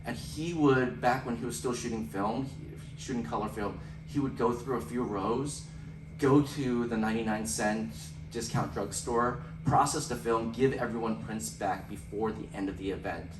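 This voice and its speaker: A man telling stories on a photography panel, joking and animated. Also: medium pitch, moderate pace. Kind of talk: panel discussion. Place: event room at a photography center. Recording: roomy room mic from far off, background noise.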